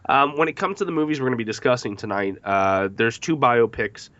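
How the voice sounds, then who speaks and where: Bright-voiced man hosting a podcast. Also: mid-range voice, lively intonation, a little fast, no echo, muffled recording. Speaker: podcast host. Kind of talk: podcast movie discussion, reading facts from notes.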